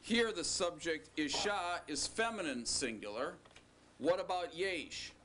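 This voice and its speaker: A man teaching a recorded language lesson, explaining slowly and deliberately with joking asides. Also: a little high, lively intonation, a bit bright, slight background noise. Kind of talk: recorded classroom lecture.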